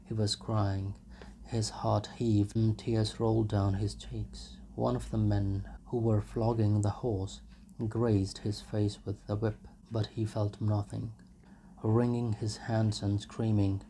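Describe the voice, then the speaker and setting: A man's voice: fairly low pitch, creaky, dark tone. A man reading literary fiction aloud as a dramatic audiobook narrator. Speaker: audiobook reader. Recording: noisy home recording.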